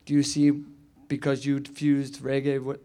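A man's voice: medium pitch, completely flat delivery, measured pace, mellow. A man asking an earnest, rambling interview question.

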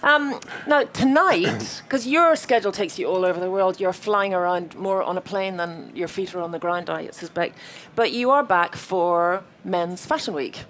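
A woman's voice: fairly low pitch, lively intonation, moderate pace, few pauses, a bit bright, slight background noise. Playful woman interviewer on stage. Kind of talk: onstage interview.

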